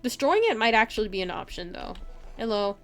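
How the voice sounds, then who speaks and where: A woman's voice: on the high side, very animated, a little fast, a bit bright, a little hiss or hum. A woman streaming a video game live with casual, chatty commentary, often muttering to herself and to game characters.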